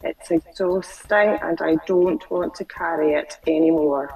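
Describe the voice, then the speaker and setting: Woman w a stutter, lowish voice, repeats lines slow, sounds far off on a call. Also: fairly even intonation, a little mellow. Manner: repeats phrases slowly after the coach. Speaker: client.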